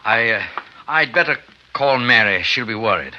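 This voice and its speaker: Tense, earnest, troubled minister. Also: male voice, mid-range voice, lively intonation, pushed and bright, muffled recording. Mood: flustered, apologetic. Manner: halting, earnest, pleading delivery. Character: anxious, devout male minister, pleading and morally troubled. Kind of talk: 1950s radio drama acting. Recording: faintly noisy 1950s radio recording.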